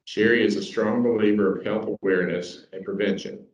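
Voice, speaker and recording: A man with a very deep voice introduces a speaker in a plain, matter-of-fact way. The sound is dull and lo-fi, and he is heard from far off in an echoey room. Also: steady tone, fairly quick. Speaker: club member introducing speakers. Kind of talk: reading an introduction, then fixing the mic.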